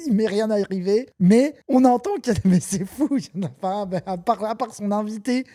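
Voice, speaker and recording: Brief: man, mocking stream commentator, mellow tone, dry close mic. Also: high-pitched, lively intonation, fairly quick, no room sound. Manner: mocking, laughing, sarcastic asides. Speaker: streamer reacting to a video. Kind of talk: live-stream reaction commentary.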